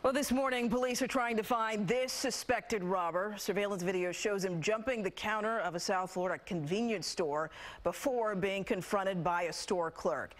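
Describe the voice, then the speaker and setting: A woman's voice: medium pitch, normal speed. A woman with an American accent reads scripted TV news as an anchor. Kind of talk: scripted newscast narration.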